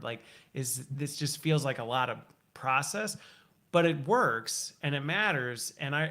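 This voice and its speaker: A man's voice: medium pitch, sharp tone. A man hosting a casual interview, talkative, thinking aloud and recapping what he has heard. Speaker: interview host.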